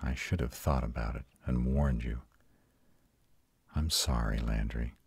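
Man acting very deep, husky vampire lover. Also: no room sound, full character voice. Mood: tender, apologetic. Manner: soft, intimate, slow reassurance. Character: ancient arrogant male vampire tenderly comforting his mate. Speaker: voice actor. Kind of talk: intimate roleplay audio drama.

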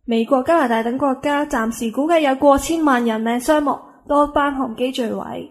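Woman acting as newsreader, high voice, reading an emergency bulletin in radio play. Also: normal speed, a little hiss or hum, lightly acted. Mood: urgent. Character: female emergency news announcer. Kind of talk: scripted news bulletin.

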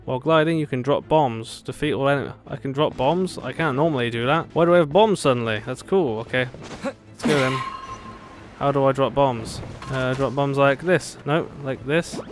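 A man's voice: medium pitch, moderate pace. A man giving casual gameplay commentary with playful, sardonic asides and bits of sing-song babble. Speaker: gamer commentating a playthrough. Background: game music.

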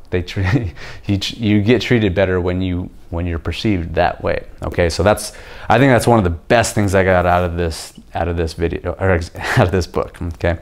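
A young man with a deep voice, hosting a casual to-camera book review from his home kitchen and explaining points in a relaxed way that often checks in with the viewer. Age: young adult. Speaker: video host reviewing a book. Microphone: camera facing him at chest-up framing.

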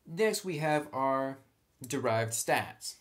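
A male tutorial host with a medium-pitched voice talks through tabletop game rules. He explains each step in a casual, conversational manner, sometimes reading from the book. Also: lively intonation, slow.

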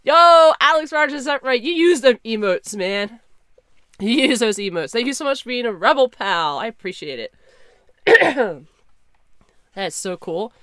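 A young woman streaming a game, chatting casually and excitably with her viewers through a headset, her voice slightly hoarse. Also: on the high side, measured pace, no echo, studio quality. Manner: chatty, excitable, talks to chat. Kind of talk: casual live-stream chat.

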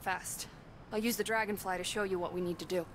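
An actress playing a resourceful, technically skilled young woman in a video game, giving brisk instructions. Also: mid-range voice, fairly even intonation, slight background noise, lightly acted. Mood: focused, instructive. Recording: loud music behind the voice.

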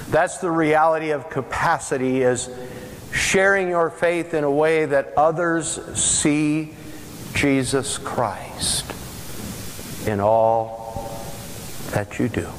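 An older man preaching a sermon as pastor, earnest and emphatic, with drawn-out pauses, standing in a large church sanctuary. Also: on the high side. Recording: long church reverb, faint hiss.

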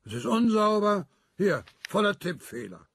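A stern, pedantic professor in a radio drama. His voice is gravelly and husky, with a lively rise and fall, and he sounds pompous and reproving. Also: high voice, normal speed, no room sound, studio quality, heavily acted. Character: fussy, pedantic, stern male university professor. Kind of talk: German radio drama acting.

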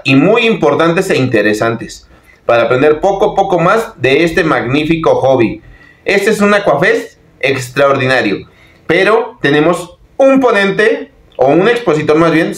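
A middle-aged man with a Mexican accent hosting an aquarium video channel, talking upbeat to his viewers from a small office. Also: fairly high pitch. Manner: upbeat, addresses viewers as friends. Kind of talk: enthusiastic video presentation. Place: small indoor office with posters.

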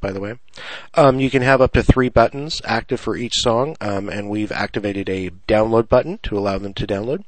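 A man's voice: fairly low pitch, no echo. A man presenting an unscripted screencast tutorial, explaining things casually, step by step.

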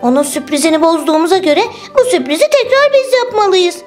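A woman's voice: sing-song, fast, faint music behind. A woman voicing a cheeky, greedy little girl doll in a children's toy story, with a childlike, exclaiming delivery. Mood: apologetic. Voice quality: high, childlike.

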